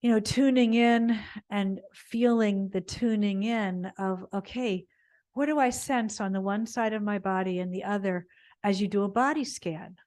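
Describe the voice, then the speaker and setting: A woman yoga teacher guides a body scan at a very unhurried pace. Her pitch sits in a middle range for a woman. She speaks off the cuff, meandering and pausing to find her words as she gives spiritual instruction. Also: darkish tone. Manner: meandering, halting, searching for words.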